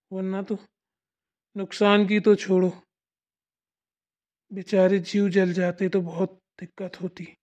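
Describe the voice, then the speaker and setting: A performer playing a calm older village father-in-law who soothes and reassures the family. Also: mid-range voice, lightly acted. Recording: dull lo-fi sound.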